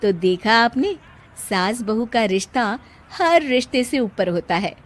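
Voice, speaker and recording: A woman's voice: fairly high pitch, animated, measured pace. A woman reading scripted narration for a cartoon moral story as a storyteller.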